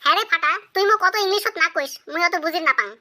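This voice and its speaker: A woman's voice: extremely high pitch, racing, bright, forceful tone, full character voice. A woman voicing a young female cartoon character in a comic, exaggerated, sing-song way. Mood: curious, coy. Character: young female: doting mother or shy village schoolgirl.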